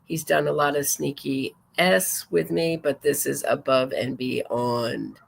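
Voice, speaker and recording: A woman's voice: rumbling low, slow pace, gravelly. A woman hosting a true crime talk show, commenting casually and reading messages aloud, often digressing and correcting herself as she goes. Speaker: podcast host.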